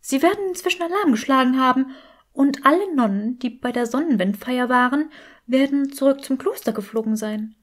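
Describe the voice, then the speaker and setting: Theatrical talking skull played by a woman, high-pitched, gossipy, gleeful, trilling, storybook fantasy. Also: moderate pace. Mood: gleefully thrilled.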